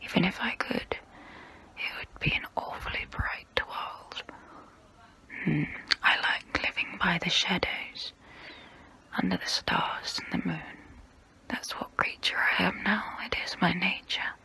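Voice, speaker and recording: A woman playing a guilty, melancholy centuries-old vampire in an ASMR role play, whispering in a slow, hesitant, wistful way with formal phrasing. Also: on the low side, pushed and bright, exaggerated voice, low-quality recording. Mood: musing, quiet. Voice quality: breathy whisper.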